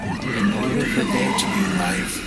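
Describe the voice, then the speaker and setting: A man's voice: high-pitched, sing-song, a little slow, over loud music. A man voicing a cryptic, commanding spirit of darkness in an audio drama, ominous and imperious as it guards a dream. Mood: menacing, commanding.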